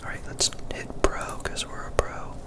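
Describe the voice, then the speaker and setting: Soft whispering ASMR gamer. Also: normal speed. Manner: casual, thinking aloud while playing. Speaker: gaming ASMR creator. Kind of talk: whispered ASMR gameplay commentary.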